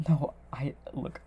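A young man playing a tender, needy, giggly boyfriend in an intimate ASMR roleplay, speaking softly and haltingly. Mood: flustered, startled. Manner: soft, hesitant, halting.

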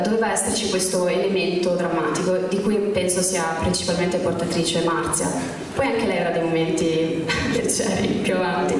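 A woman speaking on a cinema festival panel in a measured, thoughtful way, pausing often, into a handheld mic. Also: lowish voice, noisy background. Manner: measured, thoughtful, slow pauses. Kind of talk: press panel talk. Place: large cinema hall stage. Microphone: handheld mic, far camera. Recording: echoey hall from far off.

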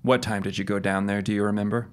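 A man plays a police detective in a radio drama. He is formal and measured, questioning patiently with a skeptical, official air. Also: fairly low pitch, little pitch movement, clean tone, natural voice. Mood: businesslike. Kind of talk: audio drama acting.

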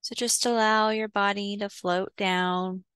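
A woman leading a guided visualization in a soothing, instructional way, pausing between short phrases. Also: medium pitch, fairly even intonation, a bit bright, clear, resonant tone, no echo. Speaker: guided meditation host. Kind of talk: guided visualization, meditation narration.

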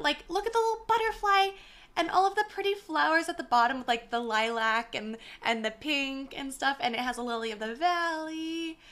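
A young woman in her twenties chatting casually on a gaming live stream, her voice slightly hoarse and worn, talking from a small room into a mic set far from her with the gain turned up. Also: high-pitched, sharp tone. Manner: chatty, apologetic, greets viewers by name. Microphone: desk mic set far from her, gain turned up.

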